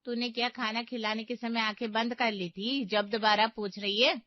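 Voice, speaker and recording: A woman's voice: on the high side, fairly even intonation, moderate pace, no echo, highs cut off, natural voice. A woman voicing an easily offended middle-aged mother-in-law in a cartoon story, taunting and melodramatic. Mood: sarcastic. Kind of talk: cartoon moral story acting.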